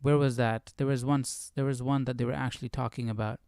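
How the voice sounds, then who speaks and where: A man hosting a live Bible study, reading and commenting casually in a musing, questioning, halting way. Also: medium pitch, steady tone, gravelly, darkish tone, clear, resonant tone, no room sound, studio quality.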